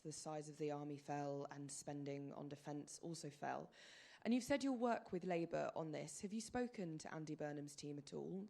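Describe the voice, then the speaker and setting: Lady journalist asking press conference questions, mellow voice w lots of vocal fry. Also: on the low side.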